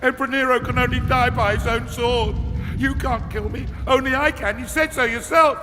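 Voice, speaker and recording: An actor playing a vain, cowardly, petulant Roman emperor in a video game, theatrical and imperious. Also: male voice, fairly even intonation, normal speed. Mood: pleading bluster. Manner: theatrical, imperious, swinging from sly to shrill. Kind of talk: dramatic video game cutscene acting. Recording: long hall reverb, music behind.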